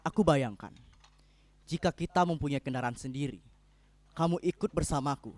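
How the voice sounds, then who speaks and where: An actor playing an anxious, idealistic husband in a dramatic reading, speaking in an earnest, apologetic manner. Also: male voice, fairly high pitch, moderate pace, darkish tone, dry recording, natural voice. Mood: guilty, worried. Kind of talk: dramatic reading of a stage play.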